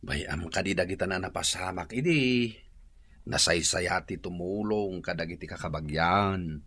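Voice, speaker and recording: Earnest, concerned Ilocano husband. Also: male voice, deep voice, measured pace, fairly bright tone, no room sound. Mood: calm, helpful. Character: concerned husband, reporting gossip and urging helpfulness. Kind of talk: Ilocano radio comedy-drama acting.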